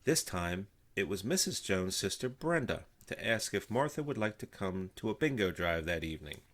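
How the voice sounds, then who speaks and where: An older man with a fairly low voice reads a children's story aloud. His narration is animated, with pitch that rises and falls freely. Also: moderate pace. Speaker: storybook reader.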